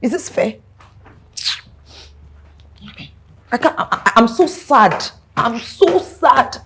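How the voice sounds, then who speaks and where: A woman's voice: on the high side, very animated, normal speed, a little hiss or hum. An actress with a Nigerian accent playing a haughty, scheming, hot-tempered young heiress in a film drama, emphatic and repeating her phrases. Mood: theatrically distressed. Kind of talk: melodramatic film acting.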